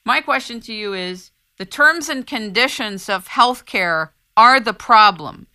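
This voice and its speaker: A woman's voice: fairly high pitch, animated, unhurried, pushed and bright. A woman hosting an interview, asking probing questions in an emphatic, rhetorical way. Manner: emphatic, rhetorical, builds repeated lists. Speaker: interview show host.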